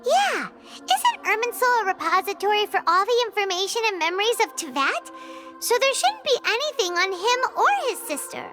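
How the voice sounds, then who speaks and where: A woman voicing an excitable, curious tiny floating female companion in a game cutscene, talking in a bouncy way. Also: moderate pace. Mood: curious, alarmed. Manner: high-pitched, bouncy, talks about herself in third person. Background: game music.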